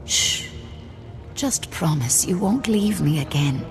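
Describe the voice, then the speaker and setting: A woman's voice: on the low side, animated, slow pace, in a large hall, over music. A woman playing a passionate middle-aged woman in a video game, earnest and imploring with her long-lost love. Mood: tender. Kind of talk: dramatic video game acting.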